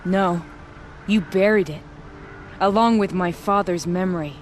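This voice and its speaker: A woman's voice: on the low side, measured pace, a little hiss or hum, lightly acted. A woman playing a proud, steely noble huntress in a video game, formal and poised in her speech. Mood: cold, triumphant.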